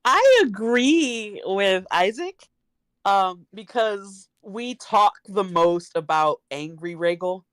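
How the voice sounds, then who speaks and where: A woman's voice: medium pitch, expressive, takes it slowly, dry recording. A woman talking casually as a guest on a podcast panel.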